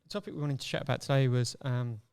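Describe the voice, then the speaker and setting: Podcast host, mid-pitched man, friendly, filler-heavy chat. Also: a little mellow, clear, resonant tone. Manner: friendly, lots of ums and quick questions. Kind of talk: casual podcast interview.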